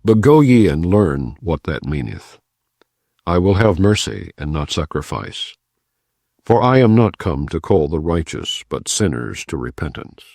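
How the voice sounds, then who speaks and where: A man reading the lines of a grave male teacher who speaks with authority, in a measured, solemn way. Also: deep voice, big pitch swings, creaky, a little mellow, dry recording, clean studio sound, exaggerated voice.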